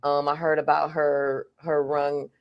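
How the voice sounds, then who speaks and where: A woman's voice: deep voice, flat delivery, unhurried, lo-fi sound. A woman co-hosting a podcast, speaking in casual, slangy conversation and reflecting on her views. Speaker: podcast co-host. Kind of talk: casual chat.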